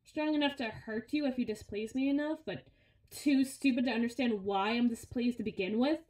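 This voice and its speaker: A woman's voice: on the high side, normal speed, darkish tone, close to an everyday voice. A woman playing a brawny, sardonic henchwoman who grumbles and teases in an intimate audio roleplay, with a conversational, sarcastic delivery. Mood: weary, earnest. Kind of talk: ASMR audio roleplay, intimate one-sided dialogue. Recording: roomy, echoing voice recording.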